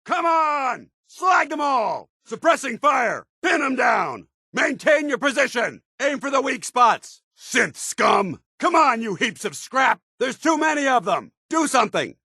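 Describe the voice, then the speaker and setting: An American actor playing a stern, disciplined armored soldier in a video game, speaking in a formal, clipped, commanding way. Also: male voice, extremely high pitch, very animated, unhurried, gravelly, hardly any pauses, sharp tone, slightly rough, no room sound, studio quality, full character voice. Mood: fierce battle shouting. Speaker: video game companion character. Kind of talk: scripted game dialogue and combat barks.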